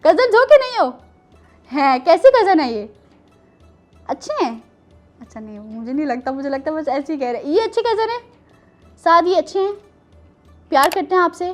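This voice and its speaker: High-pitched woman hosting for kids. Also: big pitch swings, moderate pace, pauses often, soft background music. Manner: teacherly, questioning, teasing. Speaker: children's show host. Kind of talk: storytelling and playful chat with children.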